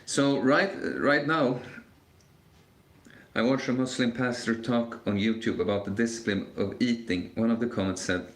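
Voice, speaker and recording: A man's voice: medium pitch, fairly even intonation, moderate pace. A man in his twenties hosting a casual live Q&A stream, talking in a rambling, hesitant way with many fillers and restarts. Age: 20s. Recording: echoey room.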